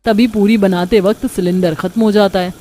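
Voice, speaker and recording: A woman's voice: medium pitch, fairly even intonation, brisk, dark tone, faint music behind. A woman giving scripted narration for a cartoon family story.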